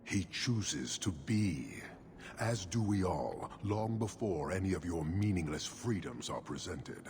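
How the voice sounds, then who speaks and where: A man playing a stern, contemptuous giant horned warrior commander in a video game, speaking in measured, formal pronouncements with a gravelly voice. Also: deep voice, animated, a little mellow, slight background noise, exaggerated voice. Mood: grave contempt. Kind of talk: video game cutscene acting.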